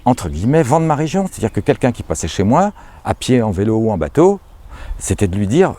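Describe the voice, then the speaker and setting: Former lock keeper, low lively voice, warm tone, faint music behind. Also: male voice, brisk. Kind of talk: interview testimony.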